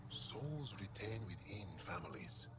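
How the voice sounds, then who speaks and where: An actor playing a solemn, resigned alien mystic in a video game, grave, measured and cryptic. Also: male voice, fairly low pitch, very animated, background noise. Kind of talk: video game cutscene acting.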